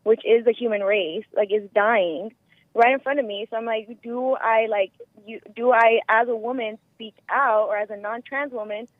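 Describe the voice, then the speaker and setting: A woman with a squeaky voice calling in by phone, chatting casually in a rambling way full of filler words. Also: steady tone, moderate pace, no echo. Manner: rambling, frequent "like" and "you know". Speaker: caller. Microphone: phone call. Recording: muffled lo-fi telephone line.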